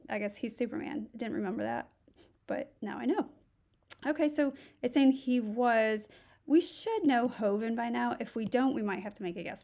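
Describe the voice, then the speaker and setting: A woman's voice: fairly high pitch, gravelly, darkish tone. A middle-aged woman teaching Spanish, a native English speaker, who thinks aloud and walks through each step of a recorded screen lesson. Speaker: Spanish instructor.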